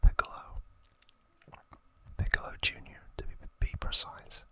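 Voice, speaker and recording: Man whispering slowly, crisp and bright. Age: 30s. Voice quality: breathy unvoiced whisper. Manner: slow, hushed, gentle delivery with pauses. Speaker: host of an ASMR video channel. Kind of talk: ASMR trivia talk, whispered.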